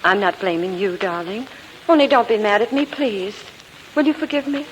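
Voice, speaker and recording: An actress playing an affectionate, gently teasing sweetheart, earnest and sweet, in 1940s radio comedy style. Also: female voice, medium pitch, moderate pace, close to an everyday voice. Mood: tender, pleading. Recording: noisy old 1940s radio recording.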